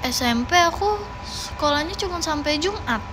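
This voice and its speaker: Young woman chatting, higher voice, noisy background. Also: lively intonation, moderate pace. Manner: chatty, playful, repeats words. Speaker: idol livestream host. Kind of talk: casual livestream chat, answering viewers' comments.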